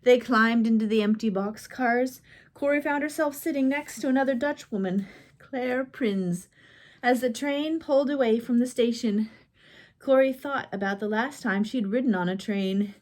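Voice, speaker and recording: A woman's voice: a little high, normal speed, a little mellow. A woman reading a chapter of a biography aloud as narrator, lifting her voice for quoted lines. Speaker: audiobook reader. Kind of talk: scripted narration.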